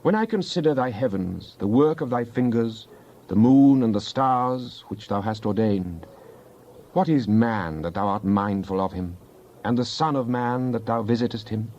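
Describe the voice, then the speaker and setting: Male actor as devout psalmist, mid-pitched, solemn, declamatory, impassioned scripture drama, slightly muffled recording. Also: moderate pace, a little mellow, lightly acted. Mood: exalted praise. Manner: solemn, declamatory, archaic diction. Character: fervent psalmist pleading with and praising God. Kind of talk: dramatized King James Bible reading.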